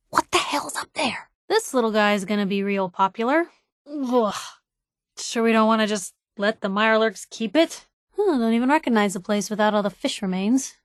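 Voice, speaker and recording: An actress playing a feisty, wry young woman reporter in video game companion dialogue, conversational, slangy and expressive. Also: mid-range voice, dry recording, studio quality, natural voice. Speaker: voice actor for a game companion character.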